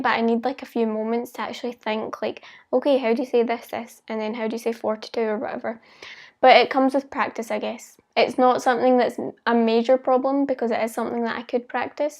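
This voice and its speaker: Young woman, mild Scottish accent, high-pitched, very even intonation, casual vlog chat. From Scotland. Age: young adult. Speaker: language-learning vlogger.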